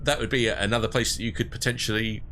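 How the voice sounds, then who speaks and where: A game master guiding his players through a sci-fi adventure, speaking in a measured, explanatory way. His voice is fairly low but bright and forceful, and he lays out options with care. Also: steady tone, slight background noise. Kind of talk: running a sci-fi tabletop game.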